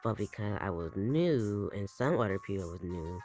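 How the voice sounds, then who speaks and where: A man voicing a cool, cold young female knife-throwing maid in a fan animation, quiet and dismissive. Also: fairly low pitch, lively intonation, normal speed, faint music behind, natural voice. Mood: explaining. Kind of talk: amateur fan animation voice acting.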